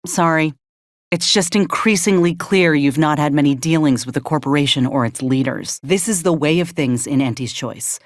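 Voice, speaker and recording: A woman's voice: deep voice, normal speed, no echo, natural voice. An actress plays a battle-worn frontier woman soldier in a video game, loyal and guarded. She talks in a folksy, plainspoken way with a dry, wry edge. The sound is dry, with no room on it. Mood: resolute, wry. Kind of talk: video game character dialogue.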